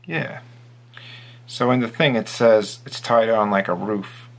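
A man's voice: fairly low pitch, unhurried, gravelly. A middle-aged man giving a casual fly tying tutorial at his desk, thinking aloud and dropping to mutters while he works.

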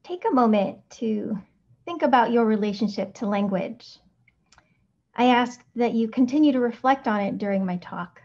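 A woman in her thirties who grew up in the Philippines, with Tagalog as her first language, reading a prepared conference paper in a measured, deliberate way over a video call from home. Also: a little high, darkish tone. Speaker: academic speaker. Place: home room, indoors. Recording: slightly dull video call audio.

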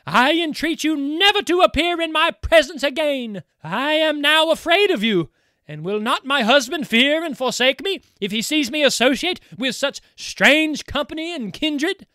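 A frightened young bride begging to be left in peace, as a man reading the story voices her. Her voice climbs high and strained, bright and tense. The sound is dry and close. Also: animated, normal speed, few pauses, no echo, exaggerated voice. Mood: pleading.

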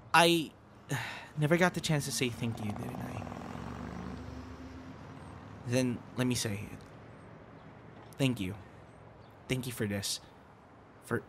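Man playing withdrawn tender young man, lowish voice, very slow and hesitant, intimate audio roleplay, faint background noise. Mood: grateful, earnest. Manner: hesitant, halting, soft-spoken. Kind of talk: intimate ASMR boyfriend roleplay.

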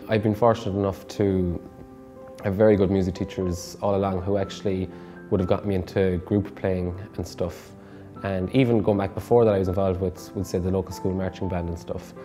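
A man's voice: sits low, little pitch movement, fairly quick, mellow. A young man, a music educator, speaking steadily in an interview.

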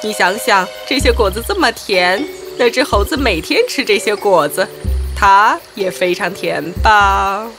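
A woman voicing a sly, demanding crocodile wife in a children's animated fairy tale, coaxing and insistent. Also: mid-range voice, measured pace, music in the background, close to an everyday voice. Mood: sweetly coaxing.

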